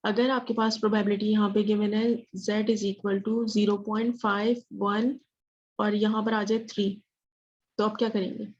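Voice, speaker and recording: A female teacher leads an interactive lesson with little pitch movement. She keeps putting questions and checking that people follow, switching into English phrases. The audio is slightly dull and lo-fi. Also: level tone, moderate pace, a little hiss or hum. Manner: questioning, checks understanding often; mixes English phrases into speech. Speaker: statistics teacher. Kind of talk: interactive online math lesson.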